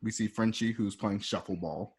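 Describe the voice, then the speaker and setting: A man's voice: on the low side, fairly even intonation, moderate pace, slight background noise. A man reading script stage directions in a casual, plain-spoken way at an informal table read.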